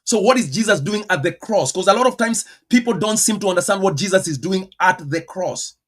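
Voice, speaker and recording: Emphatic high-pitched Kenyan pastor. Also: male voice, animated, brisk. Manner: emphatic, rhetorical questions, swings between loud stresses and quiet asides. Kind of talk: sermon teaching.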